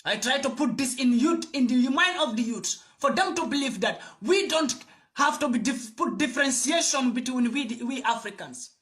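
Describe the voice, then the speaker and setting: A man's voice: extremely high pitch, animated, normal speed, fairly bright tone. A man from Gambia, giving a fiery, preachy address to camera and repeating phrases for emphasis. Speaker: video commentator.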